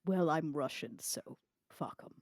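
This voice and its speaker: Woman playing a mellow, low, blunt vampire leader. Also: expressive, unhurried, dry recording, studio quality. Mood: scornful. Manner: blunt, dismissive.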